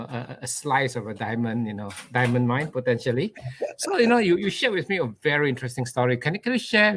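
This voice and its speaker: A man's voice: medium pitch, a bit bright. A man hosting a live online interview show, talking in a chatty, upbeat way with lots of fillers and repeated starts. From Australia. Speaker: host.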